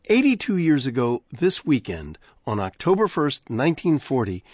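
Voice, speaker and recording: A male radio host delivers scripted closing remarks in a measured announcer manner. His pitch rises and falls expressively, and the studio sound is close and dry. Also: slightly rough, dry recording. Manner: measured, warm announcer delivery with lively phrasing. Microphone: studio microphone.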